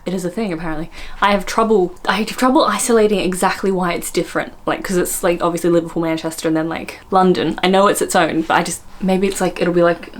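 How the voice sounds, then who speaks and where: Australian woman vlogger, low-ish nasal voice, chatty and self-mocking, light hiss. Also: steady tone, fast talker, hardly any pauses. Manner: giggly, self-deprecating, chatty with many fillers. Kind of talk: casual chat attempting accent imitations.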